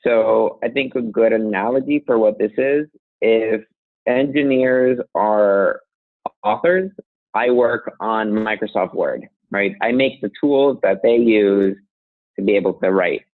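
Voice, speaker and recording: A man in his thirties from Colombia, a guest talking casually about his work, relaxed and laughing lightly, often restarting phrases. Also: on the low side, a little slow, no echo. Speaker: guest, software engineer. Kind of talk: casual conversational interview. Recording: tinny phone-like sound, dry.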